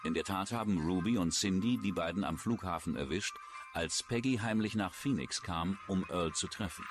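A man's voice: low voice, normal speed, over music. A man reading scripted true-crime documentary narration.

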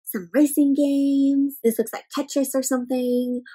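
A young woman doing an interactive read-aloud for children, exclaiming and putting questions to her young listeners in an animated way. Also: high-pitched, a little slow. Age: young adult. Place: indoor room with bookshelves.

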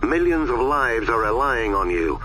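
An actor playing a grave, weary military commander in a video game, solemn and commanding. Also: male voice, mid-range voice, very animated, a little slow, bright, forceful tone, a little hiss or hum. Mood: urgent command. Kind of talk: scripted video game voice acting.

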